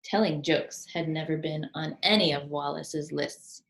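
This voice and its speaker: A woman reading a children's picture book aloud expressively, pausing to put questions to listeners and point out pictures. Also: deep voice, creaky. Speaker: storybook reader, teacher.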